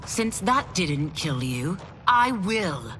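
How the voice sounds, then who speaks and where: Game heroine: resolute fighter, low register, lively intonation, dramatic, loud music behind. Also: female voice, slow, background noise. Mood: cold, threatening. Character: fierce, resolute female warrior. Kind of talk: video game cinematic acting.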